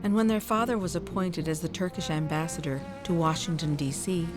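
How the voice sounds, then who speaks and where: A woman reads scripted documentary narration in a measured, formal storytelling manner. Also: sits low, fairly even intonation, over music.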